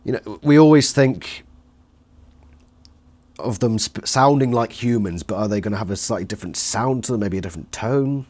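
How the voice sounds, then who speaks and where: A man's voice: lowish voice, lively intonation, normal speed, a little mellow. Man conducting a casual interview.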